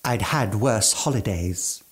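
An actor playing a genial, boastful British chef in the style of an old radio play, with effusive, gentlemanly phrasing. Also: male voice, fairly low pitch, slow pace. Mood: relishing grim tale. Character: genial, boastful gourmand chef, former mountaineer, now legless.

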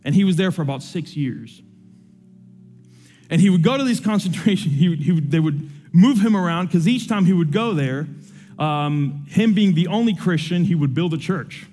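Young man preacher, earnest, emotional, conversational; slightly higher voice. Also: expressive, moderate pace, mellow, in a large hall, soft background music. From Cuba. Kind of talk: sermon with personal testimony.

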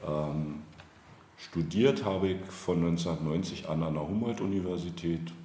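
A man's voice: deep voice, moderate pace, dark tone. A man in his forties from eastern Germany answering interview questions in a reflective, winding, somewhat hesitant way. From Brandenburg, East Germany. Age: 40s. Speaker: interview guest, local politician. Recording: roomy echo, faint background noise.

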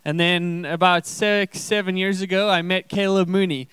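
A man's voice: high-pitched, level tone, normal speed, a bit bright, clear, resonant tone, dry recording. A young man from Zimbabwe, a missionary guest speaker, giving an informal testimony to a church congregation.

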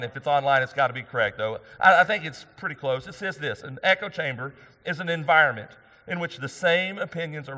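Male pastor, slightly high voice, loud emphatic delivery, church sanctuary with long reverb. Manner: loud emphatic preaching, rising for key points. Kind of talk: sermon.

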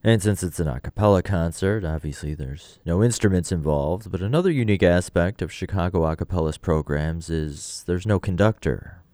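Male radio host, deep voice, dry close sound, interview questioning. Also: normal speed, few pauses, dark tone, no room sound. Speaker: radio arts show host.

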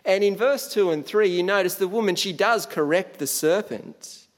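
Aussie guy preaching, slightly high voice, lots of uhs and restarts, hall echo. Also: normal speed. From Australia. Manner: conversational, frequent fillers and restarts. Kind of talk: sermon.

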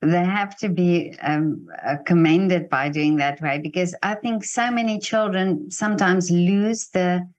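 A woman's voice: lowish voice, measured pace, few pauses. A woman, an Afrikaans speaker, interviewing a guest and responding with encouraging remarks. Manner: warm, encouraging, frequent backchannels. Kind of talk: interview conversation.

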